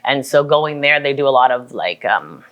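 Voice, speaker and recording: A woman's voice: rumbling low, expressive, normal speed, dull audio. A woman chatting casually as an interview guest, laid-back and conversational. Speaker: interview guest, tattoo artist and studio owner. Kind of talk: casual chat.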